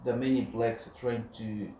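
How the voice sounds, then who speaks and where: A man's voice: mid-range voice, steady tone, normal speed, warm tone, roomy sound, a little hiss or hum, rough recording. A male interviewer asking hesitant questions with fillers.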